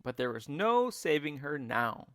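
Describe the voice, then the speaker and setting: Male storybook narrator, teacherly and theatrical. Unhurried delivery, very lively intonation. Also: a little high, no echo, clean studio sound. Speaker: teacher reading a children's book aloud.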